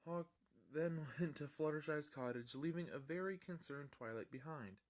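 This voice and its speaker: A man's voice: on the high side, moderate pace. A man narrating a fan fiction story in an amateur style, often stumbling and rereading his lines.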